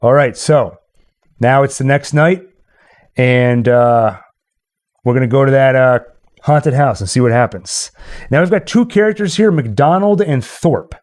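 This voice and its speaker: A man's voice: medium pitch, measured pace, darkish tone. A man hosting in a casual, chatty style, explaining things to his friends as he goes. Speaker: host and narrator of radio play.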